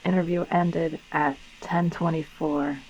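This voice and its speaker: A woman playing a police detective who questions a suspect in a formal, measured way in an acted interrogation recording. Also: low-pitched, level tone, darkish tone, dull audio, slight background noise. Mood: formal, probing.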